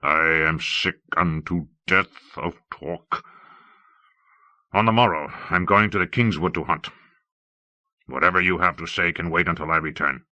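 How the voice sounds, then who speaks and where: Brooding, short-fused monarch, audiobook fantasy, played by a male reader: low, hard-edged, blunt, drawn-out delivery. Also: no echo, dull audio, exaggerated voice. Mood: weary, gruff. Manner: blunt, drawn-out, forceful speech. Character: brooding, hot-tempered king, bitter and weary. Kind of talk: audiobook character acting.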